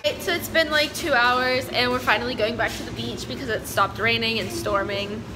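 A woman's voice: on the high side, steady tone, normal speed, music in the background, low-quality recording. A teenage girl chatting casually into a handheld phone on a beach, with a lot of "like" and laughter. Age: teens. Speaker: vlogger. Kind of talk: casual vlog chat. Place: outdoors on a beach. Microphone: handheld phone camera. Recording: loud surf noise on a phone. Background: surf and wind.

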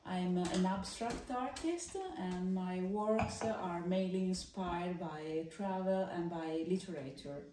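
Woman, measured, in a roomy space. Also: on the low side, dark tone. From Milan, Italy. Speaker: guest artist. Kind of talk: casual group chat. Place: dining room in a home. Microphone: far room camera. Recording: roomy and distant with noise.

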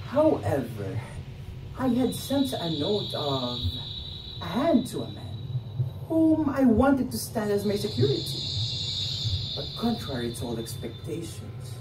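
A man's voice: sits high, huge pitch swings, measured pace, over music, full character voice. A young man acting in a stage play as a nervous, defensive ex-convict, with a hesitant, theatrical delivery. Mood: explaining. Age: young adult. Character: nervous, defensive ex-convict pleading his innocence. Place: indoor theatre set, far camera. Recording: echoey stage, distant mic, faint noise.

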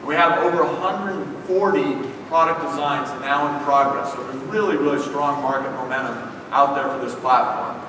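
A man's voice: mid-range voice, fairly even intonation, moderate pace, few pauses. Man presenting in an echoey hall. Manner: polished, promotional. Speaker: keynote presenter. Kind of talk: corporate keynote presentation. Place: large conference hall stage. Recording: echoey hall from far off with noise.

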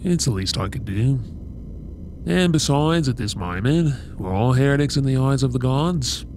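A man's voice: medium pitch, expressive, a little mellow, natural voice. Man playing a solemn knight captain, noisy backdrop. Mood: earnest, warm. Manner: formal, earnest, measured. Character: solemn, remorseful warrior knight captain, male. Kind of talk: anime fantasy audio roleplay acting.

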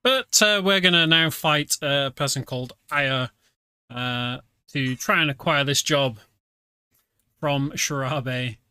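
A man's voice: mid-range voice, takes it slowly, bright, forceful tone, clean tone, dry recording. A British man giving casual, chatty commentary while playing a game, explaining as he goes with many fillers. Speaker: game streamer. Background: game music.

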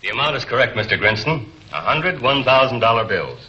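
A man's voice: medium pitch, very animated, a little fast, pushed and bright. A man playing a formal, precise crooked syndicate lawyer in a 1940s film serial. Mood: polite, businesslike. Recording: dull, hissy 1940s film soundtrack.

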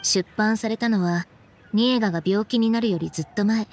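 Cool, laid-back young woman acted, medium pitch. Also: a little mellow, slight background noise, natural voice. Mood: casual, cool. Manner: casual, blunt, unhurried, pointed questioning. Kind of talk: anime game voice acting. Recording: background music under the voice.

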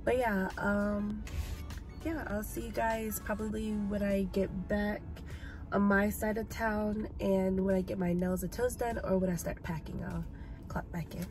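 A woman's voice: medium pitch, flat delivery, unhurried, music in the background. A young woman in her twenties chatting casually to her phone camera for a vlog, sounding slightly nasal. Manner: chatty, addresses viewers as "you guys". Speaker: vlogger. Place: car interior, then bedroom. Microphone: phone camera at arm's length.

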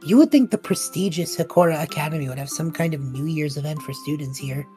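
A woman voicing a cheerful, excitable and earnest young male student in an audio drama. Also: sits low, fast, faint music behind, close to an everyday voice.

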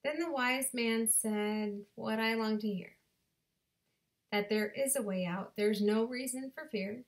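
A woman in her thirties performing her own spoken word poem in a deliberate, rhythmic way, recorded on a webcam in her bedroom. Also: on the high side. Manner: slow, deliberate rhythmic delivery with dramatic pauses. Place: bedroom at home. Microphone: webcam at desk distance.

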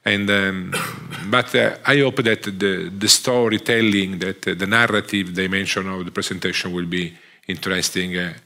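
Deep, bright-toned Italian man lecturing. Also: fairly even intonation. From Italy. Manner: halting, many fillers and restarts. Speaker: guest lecturer. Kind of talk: academic lecture. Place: theatre stage. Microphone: headset.

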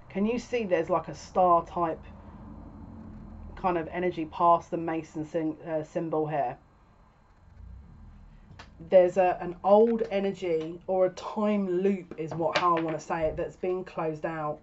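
A woman's voice: fairly low pitch, a little mellow. A woman in her thirties giving a casual, spoken tarot reading to camera as a psychic reader. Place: small furnished room at home, indoors. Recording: dull lo-fi webcam sound.

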